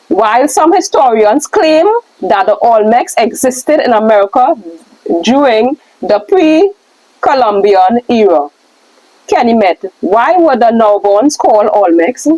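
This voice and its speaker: A woman's voice: a little high, sing-song, a little slow, warm tone, no room sound, poor audio. A woman reading aloud from a scripted question-and-answer lesson as a co-reader.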